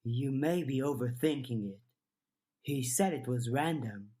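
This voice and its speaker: A woman's voice: a bass voice, expressive, a little slow, dark tone, slightly rough, heavily acted. A woman voicing a guarded, aloof and weary woman in an audiobook, terse and reserved. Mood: dismissive. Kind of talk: audiobook character dialogue.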